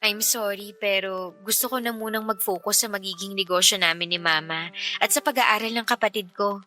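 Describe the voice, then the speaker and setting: A woman's voice: mid-range voice, steady tone, brisk, pushed and bright, faint music behind, natural voice. A woman playing a self-sacrificing young working woman in a Tagalog radio drama, earnest, emotional and firm. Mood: sorrowful, firm.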